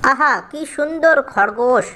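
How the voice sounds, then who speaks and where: A woman voicing a sly, greedy, boastful fox in a children's cartoon, with gloating, drawn-out lines. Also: high voice, animated, bright, forceful tone, exaggerated voice. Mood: greedy, menacing.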